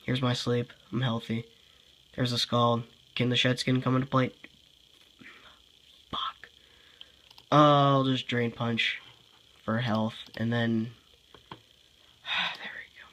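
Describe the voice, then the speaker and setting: A man's voice: mid-range voice, level tone, slow pace, pauses often, fairly bright tone, slight background noise, low-quality recording. A young man with a congested voice giving casual game commentary, thinking aloud, sighing often and sounding frustrated. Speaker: gameplay commentator.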